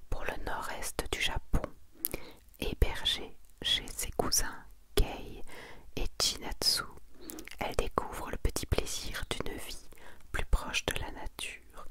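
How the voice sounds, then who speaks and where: A woman hosting an ASMR video, speaking softly in a hushed whisper as she presents and comments. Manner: soft, hushed, unhurried delivery. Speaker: ASMR video host presenting a manga collection. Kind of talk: whispered ASMR, reading summaries and casual comments.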